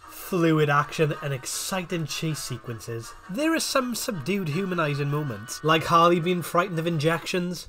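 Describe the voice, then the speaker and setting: A man's voice: on the high side, bright, forceful tone. A man narrating a scripted review in wordy, formal phrasing, with dry joking asides. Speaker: video essayist and reviewer. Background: music.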